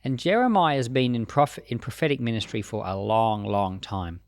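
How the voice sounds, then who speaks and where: A man's voice: medium pitch, normal speed, no echo. Man teaching the Bible, dry close sound. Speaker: Bible teacher reading scripture and commenting. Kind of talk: scripture reading and informal commentary.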